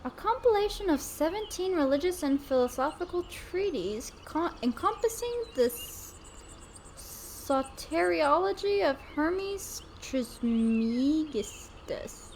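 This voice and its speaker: An earnest, bookish young artist from a historical game, as a woman reads his lines aloud. The voice is high and lively, bounding up and down, and it trips over the long scholarly names. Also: slow pace, slight background noise, heavily acted. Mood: earnest reading. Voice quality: reads in her own voice, stumbling over hard names.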